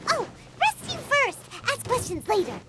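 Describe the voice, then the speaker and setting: A woman voicing a tiny, eager fairy companion girl in a video game, with a squeaky childlike voice. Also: wildly swooping pitch, normal speed, very noisy, heavily acted. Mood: urgent. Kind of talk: animated video game voice acting. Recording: loud background music. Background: game music.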